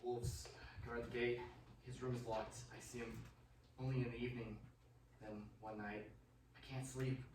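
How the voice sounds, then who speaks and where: Radio play: male actor as fearful young man in a trance, dramatic and halting, recorded roomy and at a distance. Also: mid-range voice, level tone, natural voice. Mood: haunted dread. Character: terrified young Englishman recalling a vampire's castle under hypnosis. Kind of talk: stage-like radio drama acting. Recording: roomy, distant mic, faint noise.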